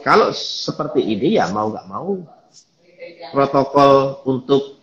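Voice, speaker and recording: A man calmly explaining things as a guest in a live online chat, with many fillers. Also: mid-range voice, slow, reverberant, dull audio. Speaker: guest speaker.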